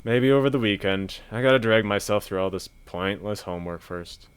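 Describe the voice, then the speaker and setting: Man playing low, laid-back student gamer. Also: moderate pace, slight background noise, lightly acted. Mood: resigned. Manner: casual, joking. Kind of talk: amateur audio drama acting.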